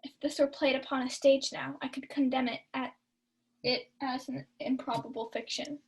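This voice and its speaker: A woman's voice: high-pitched, fairly even intonation, moderate pace, slightly rough, low-quality recording. A young woman playing a sly prankster feigning pious worry in a radio-style Shakespeare comedy. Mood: feigned alarm. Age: young adult. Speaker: student actor. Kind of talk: 1940s radio play Shakespeare acting.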